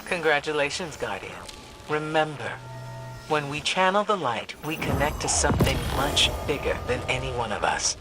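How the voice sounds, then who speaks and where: An actor playing a solemn, commanding mentor in a game cutscene, measured, grave and authoritative. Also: male voice, fairly high pitch, expressive, over loud music, close to an everyday voice. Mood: solemn, encouraging. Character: solemn commanding mentor guiding a guardian warrior. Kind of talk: video game cutscene acting.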